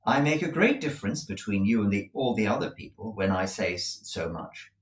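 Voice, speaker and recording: A man reading aloud, voicing a cold, proud young woman who speaks calmly and without feeling. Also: fairly high pitch, pitched above the performer's own voice, full character voice. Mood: cold, measured. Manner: cool, measured, unmoved. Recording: roomy echo.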